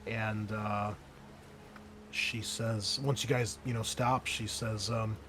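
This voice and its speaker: A man running a tabletop roleplaying game, speaking informally in halting, hesitant phrases as he sets scenes and guides players. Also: fairly low pitch, little pitch movement, fairly bright tone, slight background noise. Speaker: game master.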